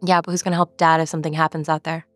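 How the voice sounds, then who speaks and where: A woman's voice: low-pitched, level tone, very fast, no room sound, faint music behind. A woman roleplaying a stubborn, worried young daughter in a tabletop game. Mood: argumentative.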